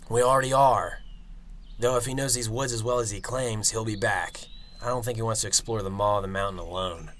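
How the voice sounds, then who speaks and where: A man playing a cynical, sarcastic detective in an amateur radio drama, with a gruff, sardonic manner. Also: lowish voice, steady tone, fairly quick, fairly bright tone, a little hiss or hum, lightly acted. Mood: sardonic, weary. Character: cynical, sarcastic Chicago detective, a worn father.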